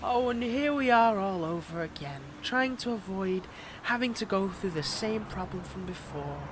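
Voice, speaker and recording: A man's voice: high-pitched, lively intonation, background noise. A man acting as a flirtatious, mocking villain and bitter scorned ex in a dramatic audio roleplay, drawing out his teasing words. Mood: taunting, resentful. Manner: teasing, mocking, drawn-out delivery with pet names.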